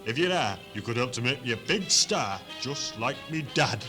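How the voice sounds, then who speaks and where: A man's voice: mid-range voice, lively intonation, moderate pace, bright, forceful tone, soft background music. A man playing a naive, whiny northern English son in his twenties in a 1970s hospital radio comedy. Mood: gormless. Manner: plaintive, gormless, exclamatory. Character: naive, whiny, dim 23-year-old son dreaming of fame.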